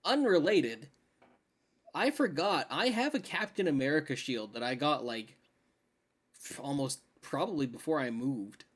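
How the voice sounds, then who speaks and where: A man's voice: on the high side, animated, a little slow, fairly bright tone, husky. A man co-hosting a podcast, talking casually and emphatically with slangy words like "dude" and "like". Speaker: podcast co-host. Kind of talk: casual chat about games and films.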